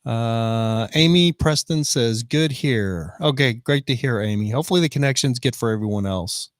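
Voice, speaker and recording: A man's voice: medium pitch, lively intonation, normal speed, no echo. Fifty-something guy chatting on his live stream, reading comments, dry close sound. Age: 50s. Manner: chatty, reads out viewer comments. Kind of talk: casual live-stream chat. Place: van in the rain.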